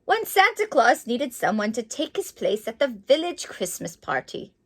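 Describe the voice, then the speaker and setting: A woman voicing a kindly old male mouse, a retired postman who reminisces in a gentle, measured storybook manner. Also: fairly high pitch, big pitch swings, pitched below the performer's own voice. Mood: fond reminiscing.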